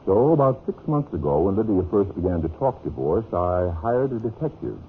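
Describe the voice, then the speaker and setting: A deep-voiced, sly radio-drama schemer. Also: male voice, big pitch swings. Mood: grave confiding. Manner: falsely friendly, insinuating, deliberate emphasis. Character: smooth, sly, scheming husband and business partner. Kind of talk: 1950s radio drama acting. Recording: dull, noisy old radio recording.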